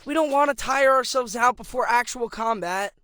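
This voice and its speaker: High, sharp, grumpy turtle teen. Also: male voice, moderate pace, dry recording, clean studio sound. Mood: skeptical. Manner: blunt, sarcastic. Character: grumpy, impatient teenage mutant turtle boy. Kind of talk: animated game voice acting.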